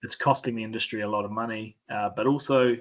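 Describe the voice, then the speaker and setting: A man with a New Zealand accent giving an informal presentation, often pausing mid-phrase in a hesitant way. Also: lowish voice, steady tone. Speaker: sheep and beef farmer, presenter.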